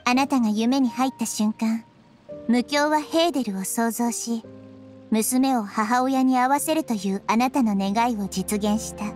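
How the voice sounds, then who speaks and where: Woman voicing a high, calm, wise child deity. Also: soft background music, lightly acted. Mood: composed, explaining. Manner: measured, gently reproving, feminine speech. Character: small, wise, calm young girl deity. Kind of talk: scripted Japanese game voice acting.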